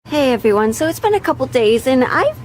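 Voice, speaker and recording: A woman's voice: sits high, big pitch swings, fairly quick. A woman chatting casually as she hosts a sewing vlog, thinking aloud in a rambling, self-deprecating way. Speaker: video host and hobby historical seamstress.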